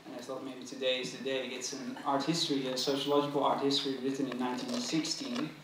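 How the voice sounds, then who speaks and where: A man's voice: mid-range voice, little pitch movement, quick pace, a bit bright, slight background noise. A man in his thirties with a Dutch accent, answering a lecture as a curator in thoughtful, hesitant academic talk with many fillers. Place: large museum auditorium. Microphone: stand mic at table. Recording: very echoey hall, mic from a distance.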